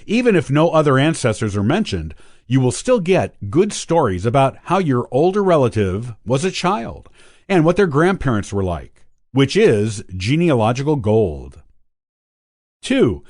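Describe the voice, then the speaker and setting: A man hosting a podcast, reading scripted instructional narration in a deliberate, clearly structured way. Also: mid-range voice, darkish tone, no echo, clean studio sound. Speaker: podcast host.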